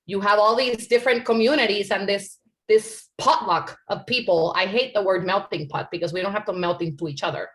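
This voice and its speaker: Woman from puerto rico talking on a panel, earnest, lots of "like" and "right?", middle pitch. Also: a little fast, low-quality recording. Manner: earnest, conversational, frequent "like" and "right?". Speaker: panel speaker. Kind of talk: panel discussion answer.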